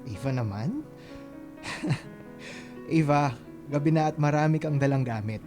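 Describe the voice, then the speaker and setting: A man playing a kind, playful young suitor in a Filipino radio drama, with a coaxing, expressive delivery. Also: on the high side, takes it slowly, soft background music. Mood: caring, coaxing. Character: Romel, kind, playful, caring young man courting a volunteer.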